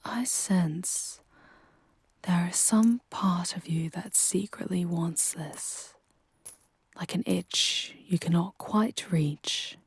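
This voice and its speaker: A woman playing a charming, protective female demon guide in an intimate ASMR roleplay, with soft breathy delivery and formal, measured diction. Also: lowish voice, no room sound, exaggerated voice. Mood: hushed, teasing. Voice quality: soft, breathy, often whispery.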